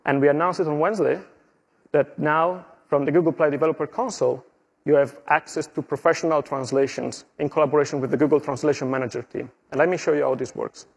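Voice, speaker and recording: Italian man, middle-aged, husky and a bit high, walks an audience through product steps on stage. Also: fast talker. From Italy. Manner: explanatory, steady walkthrough with small slips and fillers. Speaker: conference presenter. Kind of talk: tech conference presentation. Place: conference stage.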